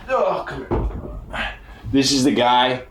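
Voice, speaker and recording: A man's voice: medium pitch, animated, slow, a bit bright. A man guesting on a casual podcast in a house, joking, swearing and laughing often. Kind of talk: casual chat. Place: grandparents' house, indoors. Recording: echoey room.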